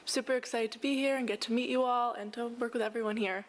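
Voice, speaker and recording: A woman's voice: a little high, steady tone, fast, heavy background noise. An American woman of about twenty from Massachusetts, giving a casual self-introduction as an intern. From Massachusetts, United States. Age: around 20.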